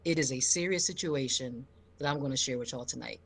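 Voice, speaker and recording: A middle-aged American woman from Philadelphia telling her own story on a live show in an emphatic, expressive, high-energy way. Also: a little fast, creaky, slightly rough. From Philadelphia, United States.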